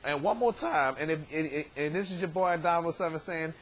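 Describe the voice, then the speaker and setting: A man in his thirties, hoarse from shouting, hosting a casual vlog from his bedroom on a webcam, rambling with many stammered repeats. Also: on the high side, animated, fairly quick. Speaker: show host. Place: bedroom, indoors. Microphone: webcam at desk distance.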